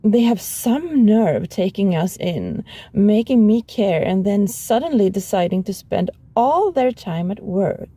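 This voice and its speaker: A woman playing a sullen, possessive animal hybrid roommate in an ASMR roleplay, grumbling in a sardonic, husky voice. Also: medium pitch, expressive, unhurried, hardly any pauses, warm tone, no echo. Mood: resentful, sardonic. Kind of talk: ASMR audio roleplay acting.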